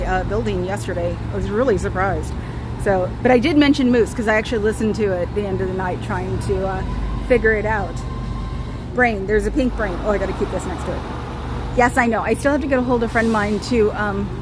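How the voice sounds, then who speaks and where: A woman with a high voice hosting a casual livestream outdoors, rambling and sarcastic as she talks straight to her listeners. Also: noisy background. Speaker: livestream host.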